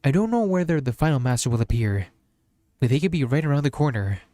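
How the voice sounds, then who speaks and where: A man's voice: mid-range voice, lively intonation, fast, dark tone, dry recording. A man streaming as a VTuber, reading a visual novel aloud as narration.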